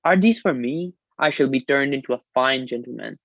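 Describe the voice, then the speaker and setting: A man reads as an old mill sprite from a storybook, vain and full of self-importance. The voice is mid-pitched and exclaiming, on a dry, slightly dull recording. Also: normal speed, vocal fry, fairly bright tone, dry recording. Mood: delighted.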